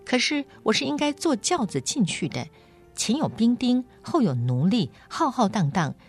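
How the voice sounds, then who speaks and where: A woman's voice: on the high side, big pitch swings, normal speed, faint music behind, pitched above the performer's own voice. A woman voicing a haughty, indignant young noble girl in a storybook, complaining fiercely. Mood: haughty, annoyed.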